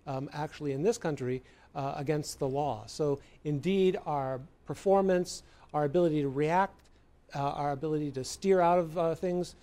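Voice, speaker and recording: A man's voice: a little high, steady tone, moderate pace, mellow. A man with an American accent explaining things as a medical expert in an interview.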